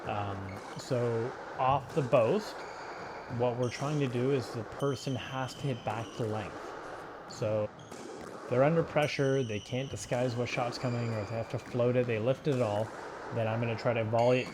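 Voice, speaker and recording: A man coaching in an informal, explanatory way, talking through sports drills in halting phrases. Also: mid-range voice, steady tone, background noise. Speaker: squash coach. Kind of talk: instructional sports commentary over drill footage.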